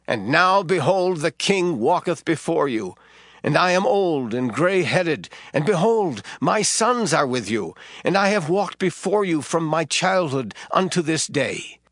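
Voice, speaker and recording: A man's voice: a little high, a bit bright, dry recording, full character voice. An actor playing an old, gray-headed prophet who addresses his nation in a solemn, stern, declamatory way, as in a scripture drama. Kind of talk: dramatized scripture acting, oratorical. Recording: music bed behind the voice.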